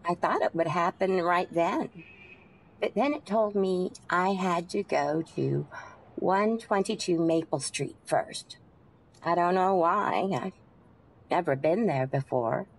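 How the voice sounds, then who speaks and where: A woman acting an eccentric, serene taxi passenger in a radio play. She speaks slowly and politely, with a lively lilt and gentle hesitations, over faint background noise. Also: lowish voice, low-quality recording. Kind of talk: old-time radio drama acting.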